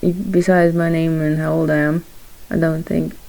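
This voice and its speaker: Shy young woman vlogging while she draws, low and mellow, halting with frequent soft laughs. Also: flat delivery, gravelly, low-quality recording. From Morocco. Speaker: vlogger, aspiring character designer. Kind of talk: casual chat while drawing.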